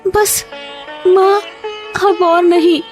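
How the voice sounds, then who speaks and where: A woman playing a sweet, caring, devout young daughter in an animated moral story, with a childlike, earnest manner. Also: very high-pitched, extremely slow, faint music behind, full character voice. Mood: tearful pleading.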